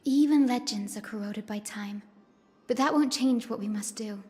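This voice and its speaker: An actress voicing a gentle, earnest young woman of a sky-dwelling people in a game cutscene, speaking softly like a storyteller. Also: on the high side, normal speed, breathy edge. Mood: solemn. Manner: soft, earnest storyteller, rising to solemn invocation. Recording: long reverb with faint music.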